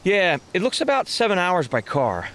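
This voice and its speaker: A man's voice: high voice, big pitch swings, normal speed, a bit bright, a little hiss or hum. A man playing a practical, easygoing travelling companion in an audio drama, matter-of-fact in tone.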